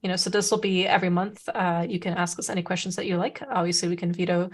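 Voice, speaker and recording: A woman's voice: lowish voice, flat delivery, fast. A woman whose first language is Serbo-Croatian, co-hosting a casual podcast, talking in a chatty way with many fillers and restarts. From Serbia. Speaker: podcast co-host.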